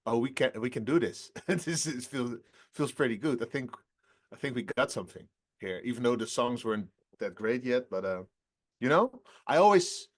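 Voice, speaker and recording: A singer in his twenties answering interview questions in a relaxed, halting way, often laughing between phrases. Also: medium pitch. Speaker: rock band singer, guest.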